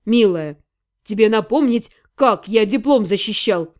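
A woman's voice: mid-range voice, expressive, measured pace, a little mellow, pitched below the performer's own voice, lightly acted. A woman voicing a sleepy, grumpy young male necromancer who grumbles and teases. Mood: teasing. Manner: grumbling, drowsy, teasing.

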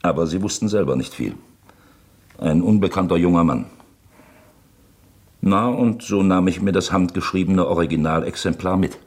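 An actor playing a brooding elderly uncle in a radio drama, husky, speaking in a halting, pensive and uneasy way. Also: male voice, deep voice, a little mellow. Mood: musing, rueful. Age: older.

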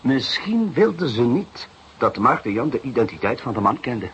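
An actor playing a shrewd, unruffled police inspector who questions in a measured, drily insistent way in a detective radio drama. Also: male voice, mid-range voice, very animated, a little hiss or hum, low-quality recording. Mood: calm, thoughtful.

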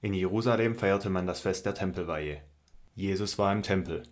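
Deep-voiced male Bible narrator. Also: normal speed. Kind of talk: scripted Bible audio drama narration.

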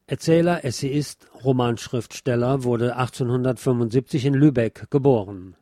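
A man presents a scripted literary biography in a measured, formal, carefully enunciated radio narration. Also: medium pitch, dark tone, no echo, studio quality.

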